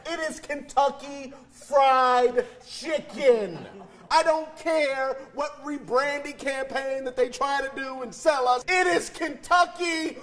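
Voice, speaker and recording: A young man, a comedian guest, ranting loudly and jokingly in a raunchy, animated way, recorded on a lapel mic in a restaurant bar. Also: very high voice, slow pace. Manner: loud, animated ranting, shouts for emphasis.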